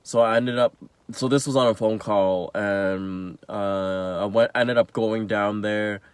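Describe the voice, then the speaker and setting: A man's voice: lowish voice, fairly even intonation, a little slow, clean tone, no room sound. A young man from Canada, a little sick and nasal, rambling about a mix-up in a casual vlog with lots of fillers and restarts. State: sick, under the weather. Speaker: vlogger.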